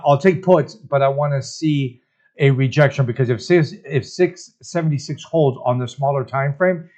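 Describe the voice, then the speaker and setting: A man hosting an informal stock chart breakdown as a market analyst, talking conversationally and often checking in with tag questions. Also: medium pitch. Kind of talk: informal trading chart commentary. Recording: dull, lo-fi voice recording.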